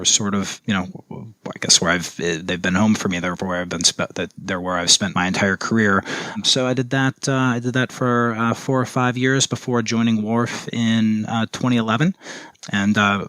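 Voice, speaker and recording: A man guests on a finance podcast, often restarting phrases and filling gaps with "uh". His voice is low and flat in pitch yet bright and forceful in tone. The sound is dry. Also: no room sound. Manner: hesitant, restarts phrases, many "uh" fillers. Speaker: portfolio manager, guest. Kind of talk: podcast interview.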